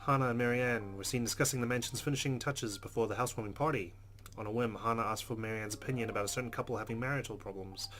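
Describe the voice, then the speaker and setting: Guy doing a visual novel lets play, reads narration out loud, normal pitch, measured but expressive, guitar music clearly behind. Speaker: let's play host. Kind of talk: reading visual novel narration aloud with casual asides. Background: game music with plucked guitar.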